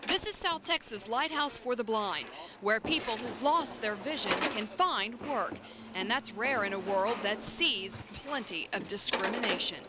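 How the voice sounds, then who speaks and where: Woman reporter, higher voice, heavy background noise. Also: lively intonation, a little slow. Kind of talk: scripted news narration.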